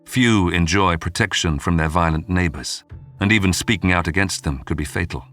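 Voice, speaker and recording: Very deep male documentary narrator. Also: normal speed, a bit bright. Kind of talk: scripted dramatic true-crime narration. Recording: music bed under the voice. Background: background music.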